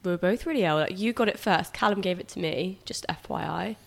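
A woman's voice: lowish voice, brisk, creaky. Bunged-up woman with a cold. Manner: chatty, teasing, laughs often. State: congested with a cold. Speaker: podcast co-host; personal trainer. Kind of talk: casual chat.

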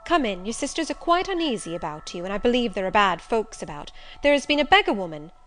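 A woman's voice: medium pitch, big pitch swings, quick pace, fairly bright tone. A woman voicing a stern, suspicious elderly female servant in an audiobook, blunt, curt and scolding. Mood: flustered, scolding. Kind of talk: audiobook character voice.

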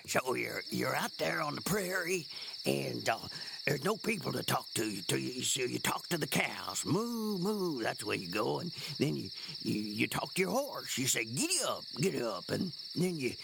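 A man playing an awkward, lonesome cowboy rambling haltingly and deadpan in a comic monologue. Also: mid-range voice, gravelly, noisy background. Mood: earnest, hesitant.

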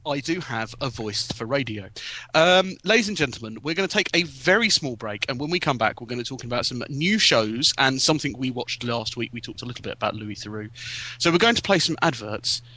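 Guy hosting a tv podcast, bright voice, jokey presenter bits. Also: mid-range voice, animated, a little fast, dry recording, poor audio. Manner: jokey, presenter-style links. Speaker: podcast co-host. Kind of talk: casual podcast chat.

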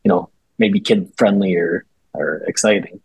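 A man guesting on a podcast, telling travel stories in a relaxed, conversational way. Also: deep voice, moderate pace, gravelly, husky, no room sound, low-quality recording. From Minnesota, USA. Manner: relaxed storytelling with fillers. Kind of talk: casual conversational interview.

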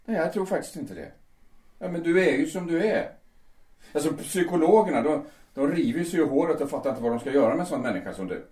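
An actor playing Urban, a resentful, vengeful male stage colleague who speaks in a sardonic, calmly menacing way. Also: on the high side, echoey room, natural voice. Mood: coldly menacing. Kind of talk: audio drama acting.

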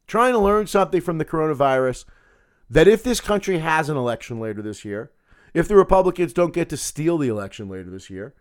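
A man hosting a political podcast, talking solo in a sarcastic, combative way with a gravelly voice. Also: a little high. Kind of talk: opinionated solo commentary.

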